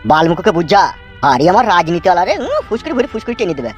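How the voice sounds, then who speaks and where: A woman's voice: fairly low pitch, very animated, racing, dull audio, soft background music. A woman voicing an irritable older tea-stall keeper in a comic cartoon, scolding coarsely. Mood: irate, mocking. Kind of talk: comic cartoon dialogue in rural dialect.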